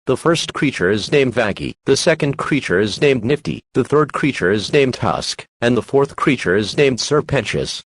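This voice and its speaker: A man's voice: medium pitch, expressive, moderate pace, few pauses, husky, no room sound. Formal male emergency alert announcer, dry sound.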